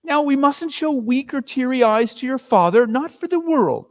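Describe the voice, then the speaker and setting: A performer voicing a gentle, troubled mother hiding grief with halting, repeated reassurances. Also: high voice, lively intonation, big-room sound, voice pushed up. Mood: brave distress.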